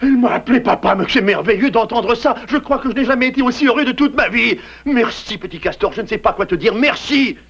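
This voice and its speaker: An actor playing a strong, warm-hearted father in a dubbed cartoon, earnest and emotional. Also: very high voice, animated, fast, hardly any pauses, heavily acted. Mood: overjoyed. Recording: dull old VHS cartoon with music. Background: music.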